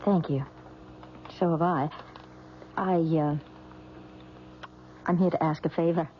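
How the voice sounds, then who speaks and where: An actress playing a polite young woman in trouble in a 1970s radio drama, speaking quietly, earnestly and tentatively. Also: sits low, fairly even intonation, slow pace, a little hiss or hum. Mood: pleading. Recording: dull lo-fi old radio broadcast.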